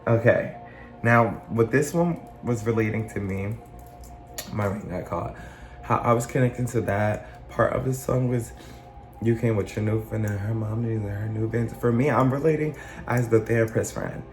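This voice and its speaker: A man talking in a casual, earnest monologue, his voice still rough from being sick, often circling back and restarting his phrases. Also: fairly low pitch, moderate pace, echoey room, soft background music. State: recovering from illness, voice still rough. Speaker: channel host.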